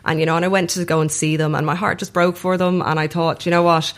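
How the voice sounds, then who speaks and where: A woman's voice: fairly low pitch, little pitch movement, fast talker. A woman with an Irish accent chatting casually as an interview guest, telling stories in a chatty way and acting out bits of dialogue. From Ireland. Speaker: guest, snake performer.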